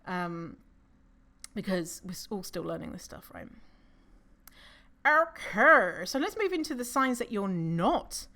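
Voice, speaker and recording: A non-binary podcast host with a low voice, talking in a casual, rambling solo monologue with fillers, self-corrections and casual swearing. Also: sing-song, takes it slowly, pauses often, sharp tone. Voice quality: low voice for a woman's range.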